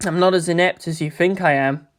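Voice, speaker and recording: A man's voice: on the high side, steady tone, a little fast, lightly acted. Guy doing earnest teen boy student from a sci-fi audiobook, polite n precise, kinda technical. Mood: restrained.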